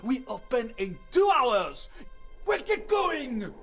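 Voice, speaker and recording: An actor playing a stern, exasperated boss in an audio drama, pressing and exclaiming. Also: male voice, very high-pitched, big pitch swings, slow pace, a bit bright, soft background music, full character voice.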